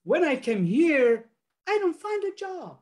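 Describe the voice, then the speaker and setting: An older man whose first language is Arabic, giving halting, earnest remarks as a panelist on a video call, with many restarts and fillers. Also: very high-pitched, big pitch swings.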